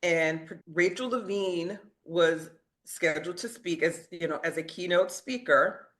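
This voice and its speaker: A woman's voice: on the low side, measured pace, a bit bright. Middle-aged lady doctor chatting as a guest, earnest, little laughs here and there. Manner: earnest, laughs at her own defiance. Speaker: physician guest. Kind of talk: conversational interview storytelling.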